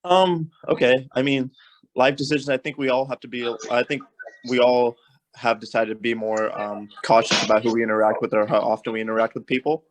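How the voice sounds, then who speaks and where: A man in his twenties speaking calmly and measuredly on a video call. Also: mid-range voice, fairly quick, poor audio. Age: 20s. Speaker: young adult panelist. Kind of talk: casual chat.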